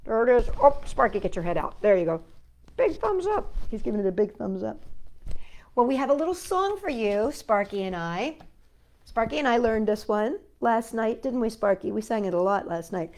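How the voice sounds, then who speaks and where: A woman's voice: fairly high pitch, big pitch swings, moderate pace, a little mellow. A woman, a native English speaker, hosting a chatty, playful storytime for young children, often asking little questions of her listeners. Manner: playful, talks to companion and young viewers with tag questions.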